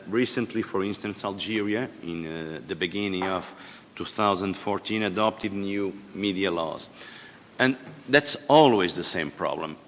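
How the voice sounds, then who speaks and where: Low-voiced man in a reverberant hall. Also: a bit bright. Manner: hesitant, many fillers and restarts. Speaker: panel expert. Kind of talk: conference panel remarks. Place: large conference hall. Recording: long-reverb conference hall.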